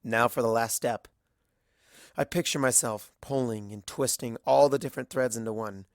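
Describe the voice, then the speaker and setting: A man narrating a fanfiction story in the first person, in a measured, storytelling way. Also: medium pitch, creaky, no echo, clean studio sound. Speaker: audiobook reader.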